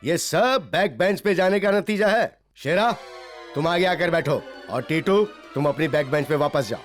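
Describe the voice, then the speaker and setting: A man's voice: fairly high pitch, animated, moderate pace, soft background music, lightly acted. A man playing an easily swayed, exasperated schoolteacher in a Hindi children's cartoon, coaxing his pupils.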